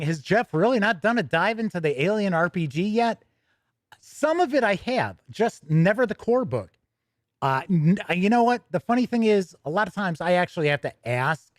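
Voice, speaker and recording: A man's voice: sits high, no echo, clean studio sound. A man hosting a tabletop gaming livestream, talking in a chatty, conversational way with casual asides and self-corrections. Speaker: host of a tabletop gaming news show. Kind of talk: casual livestream chat and news reading.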